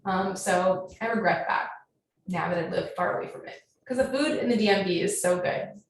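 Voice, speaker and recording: A woman with an American accent answering questions as a guest author in a casual, animated, chatty way that leans on "like". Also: lowish voice, normal speed. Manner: animated storytelling with frequent "like". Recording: very echoey, distant, faint noise.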